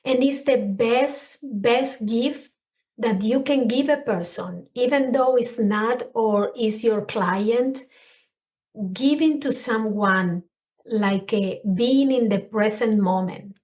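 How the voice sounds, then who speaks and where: A woman's voice: fairly high pitch, takes it slowly, poor audio. A middle-aged woman with a Spanish accent, speaking English as a second language, earnestly talking as a counselor and guest on a podcast. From Spanish-speaking country. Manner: earnest, expressive, searching for words. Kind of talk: conversational interview.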